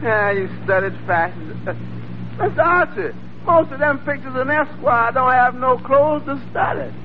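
An actor playing a wry, skeptical tavern waiter who drawls deadpan needling remarks in 1940s radio comedy. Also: male voice, very high voice, normal speed. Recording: muffled, noisy old radio recording, loud audience.

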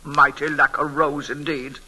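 An actress playing an imperious, grumbling old invalid aunt who softens under flattery, in old-time radio drama style. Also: female voice, sits low, unhurried, breathy edge, heavily acted, poor audio. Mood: imperious, peevish. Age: older. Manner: querulous, haughty. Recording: vintage radio broadcast recording.

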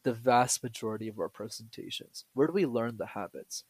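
Energetic young man with a dry sound. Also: medium pitch, brisk, slightly rough, dry recording. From Canada. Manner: energetic, joking, explanatory. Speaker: guest, public speaking coach and YouTuber. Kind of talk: casual podcast interview.

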